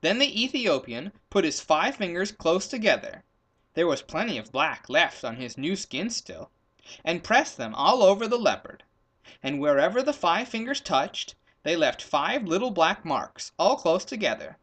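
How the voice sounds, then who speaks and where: A man's voice: sits high, sing-song, normal speed, a bit bright. A man reading a children's story aloud as scripted narration.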